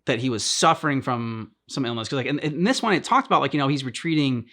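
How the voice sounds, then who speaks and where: Man, medium pitch, casual chat, halting phrasing, thinking aloud, game story discussion. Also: expressive, pushed and bright. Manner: halting, repeats words while thinking aloud. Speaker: host of a game reaction channel.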